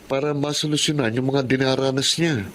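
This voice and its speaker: An actor plays an anxious young son in a Filipino radio drama. His medium-pitched voice sounds worried, with faint cricket ambience and noise behind it. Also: steady tone, brisk, fairly bright tone, close to an everyday voice.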